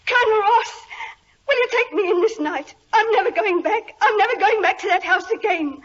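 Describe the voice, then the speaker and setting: An actress playing a frightened, superstitious country housemaid, in excitable 1940s radio style. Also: female voice, extremely high pitch, moderate pace. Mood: frightened, hysterical. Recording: muffled, noisy old radio recording.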